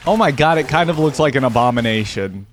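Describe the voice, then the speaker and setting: A man's voice: mid-range voice, expressive, quick pace, slight background noise. A young man hosting a casual reaction video, thinking aloud as he watches, with frequent exclamations and laughs.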